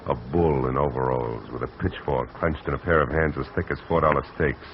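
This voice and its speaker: A man's voice: very deep voice, fairly quick, slight background noise. A man playing a wry, hard-boiled private eye in a 1940s radio drama, who also narrates, on a muffled old recording. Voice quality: dry, wry. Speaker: narrator and lead.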